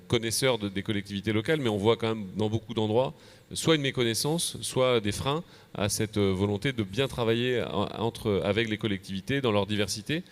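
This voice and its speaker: Male association official, French from France, low register, rapid halting delivery, conference presentation. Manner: halting, repeats words. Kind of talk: semi-prepared conference panel presentation. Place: convention plenary session.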